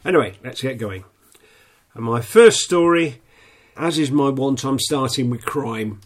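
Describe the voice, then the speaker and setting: A man reading local news in a plain, steady way, a little snuffly with a stuffy nose. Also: mid-range voice, a little slow. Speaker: newsreader.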